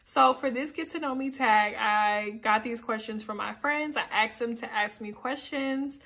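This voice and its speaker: A woman's voice: fairly high pitch, unhurried. A woman in her twenties chatting casually and playfully to the camera, answering get-to-know-me questions in a small room. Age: 20s. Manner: animated, chatty, playful asides. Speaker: YouTuber. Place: small indoor room, seated on a sofa.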